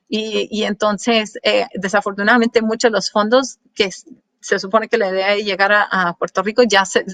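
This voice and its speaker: A woman's voice: medium pitch, fairly bright tone, no echo. A woman presenting research slides to an audience, talking with many fillers and restarts and slipping in English words. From Colombian parents. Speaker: guest speaker.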